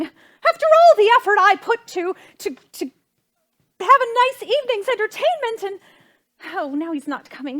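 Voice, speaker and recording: Brief: imperious society matron for a period stage comedy, extremely high voice, swooping intonation, fretful and gushing. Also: female voice, fairly bright tone, full character voice. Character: flustered, imperious society hostess, a socially ambitious mother. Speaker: stage actress. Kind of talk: theatrical period comedy acting.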